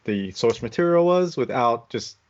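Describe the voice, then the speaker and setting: A man's voice: medium pitch, lively intonation, moderate pace. A man co-hosting a podcast, talking casually as he shares his opinions in a group discussion. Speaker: podcast co-host. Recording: dull, lo-fi audio.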